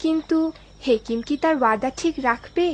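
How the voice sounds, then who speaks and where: A woman narrates a cartoon tale in a high voice, in a scripted storytelling style. There is faint ambient noise behind her. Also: normal speed.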